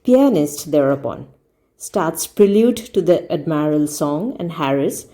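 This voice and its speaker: A woman storyteller giving a deliberate, expressive read-aloud narration of a comic story. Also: low-pitched. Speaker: audiobook storyteller.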